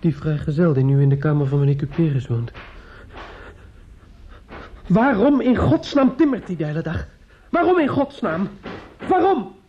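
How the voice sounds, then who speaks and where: An actor in a radio play playing a shaken young man at his wits' end, talking in a halting, agitated, repetitive way. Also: fairly high pitch, sing-song. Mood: agitated despair. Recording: dull, noisy old radio play recording.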